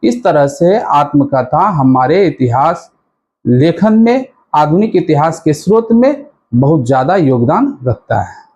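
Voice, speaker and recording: A man's voice: a little high, big pitch swings, measured pace, darkish tone, dull audio. A man teaching history in an explanatory exam-prep style, repeating and rephrasing key points as he goes.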